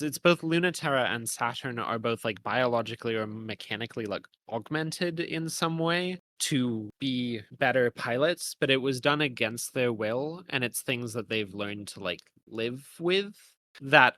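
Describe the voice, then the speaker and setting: A man's voice: medium pitch, sharp tone, no echo. A man co-hosting a podcast, discussing a game in a conversational way. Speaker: podcast co-host.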